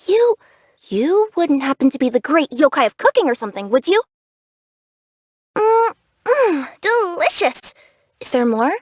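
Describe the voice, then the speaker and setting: A woman's voice: high voice, very animated, measured pace, pauses often, no room sound, clean studio sound. A woman voicing a cheerful, curious young female cat yokai who works as a package courier in a video game, earnest and bubbly in manner. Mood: delighted. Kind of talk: English video game character voice acting.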